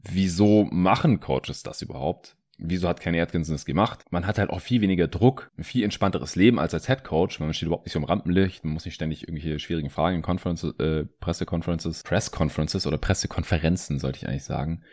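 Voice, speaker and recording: A man's voice: low-pitched, quick pace, few pauses, a little mellow. A man hosting a sports podcast in casual, colloquial talk, mixing in English sports jargon. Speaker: podcast host. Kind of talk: casual basketball podcast talk, reading listener questions.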